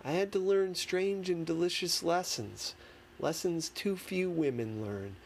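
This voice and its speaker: A man reading a memoir as an audiobook, in a deliberate, emphatic manner with dramatic pauses. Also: on the high side. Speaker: audiobook reader. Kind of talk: expressive scripted narration of a memoir. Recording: clearly noisy background.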